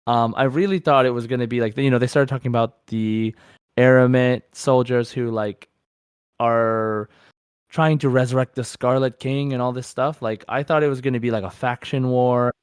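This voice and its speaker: A man co-hosting a casual gaming podcast, talking in an excited, meandering way. His pitch sits in the middle for a male voice, and the recording is close and dry, with little room sound. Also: normal speed, clear, resonant tone, no room sound. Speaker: podcast co-host.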